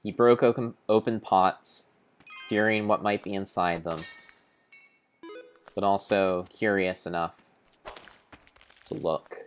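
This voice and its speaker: Deep-voiced man narrating a game dramatically. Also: steady tone, faint music behind. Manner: grave, theatrical, deliberate phrasing with pauses. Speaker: streamer narrating his gameplay. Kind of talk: dramatic storybook-style narration of video game play.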